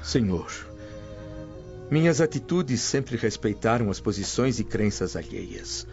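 An actor playing a serene, dignified freed slave and father in a radio drama, with measured, formal speech. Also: medium pitch, expressive. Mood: calm, dignified. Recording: dull lo-fi radio drama, music underneath. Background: soft music.

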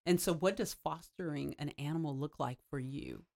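A woman's voice: low-pitched, moderate pace, vocal fry, dark tone. A woman from the United States hosting an interview, affirming her guest often and talking in long winding turns. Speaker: interview host.

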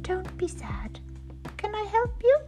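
Storybook mouse, played by a woman: small, very high voice, gentle, helpful, reassuring, light music behind. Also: sing-song, slow, full character voice. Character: tiny, kind, helpful little mouse. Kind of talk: children's storybook reading.

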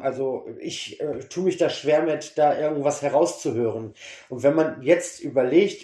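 A man's voice: on the high side, a little mellow. A man interviewing a guest in an enthusiastic way, with many fillers. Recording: echoey room.

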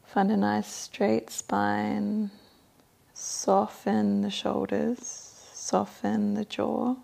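A woman guides a meditation in a soft, mellow voice with heavy vocal fry. She speaks very slowly, giving gentle, soothing instructions. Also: mid-range voice, little pitch movement.

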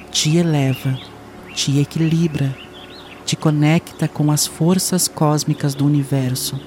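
A man leading a guided meditation in a soothing, unhurried way with long pauses. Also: on the high side, a little mellow, a little hiss or hum.

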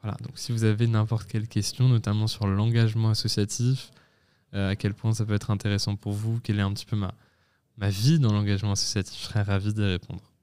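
A young man in his twenties, a student guest on a podcast, explaining things in a measured way with many pauses and self-corrections. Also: on the low side, level tone, mellow, clear, resonant tone. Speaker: guest, student association president. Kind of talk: casual podcast talk.

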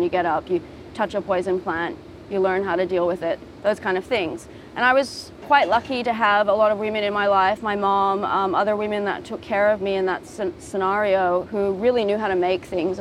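A woman's voice: mid-range voice, a little hiss or hum, poor audio. A woman raised in Japan answers interview questions outdoors at a beach, speaking reflectively and thoughtfully with frequent ums. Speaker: guest, designer and model.

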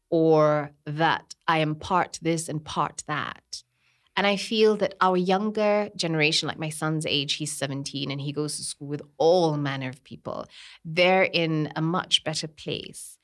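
A Kenyan woman in her forties, telling stories in a relaxed podcast conversation. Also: low-pitched. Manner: storytelling, animated when quoting others.

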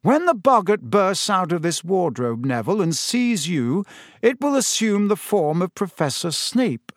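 A kindly, wry teacher, as a male narrator puts him on, speaks in a gentle, measured and amused way. His voice sits fairly high and swings widely in pitch, and the sound is close and dry. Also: big pitch swings, no echo. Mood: encouraging.

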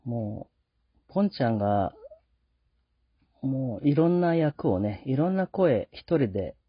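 Husky man hosting, dry dull sound. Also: mid-range voice, sing-song, measured pace, no echo. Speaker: host. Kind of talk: casual podcast sign-off monologue.

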